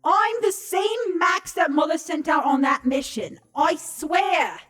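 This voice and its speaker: A woman voicing a young changeling spy, cocky, excitable and defensive, pleading her case in a comic fantasy audio drama. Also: extremely high pitch, slow, heavily acted. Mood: sheepish. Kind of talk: dramatic comedy fan fiction reading.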